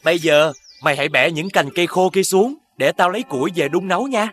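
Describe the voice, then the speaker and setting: A man's voice: high voice, fast talker, a bit bright. A man playing a kind, cheerful young villager in a folk-tale cartoon. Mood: gentle.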